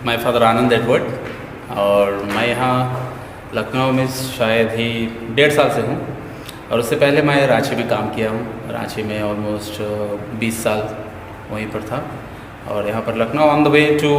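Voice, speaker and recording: A man from South India introduces himself in a very rapid, chatty stream. His mid-pitched voice carries across a reverberant hall with a long tail. A faint background noise stays present. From South India, from Mysore. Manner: rapid, mixing languages in a chatty run. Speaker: guest priest. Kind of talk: casual self-introduction.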